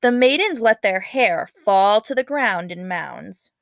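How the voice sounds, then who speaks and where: A woman's voice: medium pitch, animated, unhurried, vocal fry, no echo. Woman reading a storybook aloud, dry recording. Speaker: teacher reading aloud to students. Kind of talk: storybook read-aloud with casual commentary.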